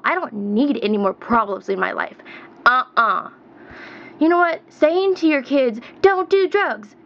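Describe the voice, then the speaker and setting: A woman in her twenties ranting to camera in her own vlog, emphatic and repetitive, her pitch jumping up as she stresses her points. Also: a bit bright, muffled recording. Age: 20s. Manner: emphatic, repeats herself, rising pitch. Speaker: vlogger. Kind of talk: heated rant to camera.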